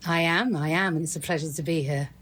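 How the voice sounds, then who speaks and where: A woman's voice: deep voice, fast talker, fairly bright tone. A middle-aged British woman with a British accent, a guest on a podcast, speaking in an articulate and reflective way. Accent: British English. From Great Britain. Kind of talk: casual interview.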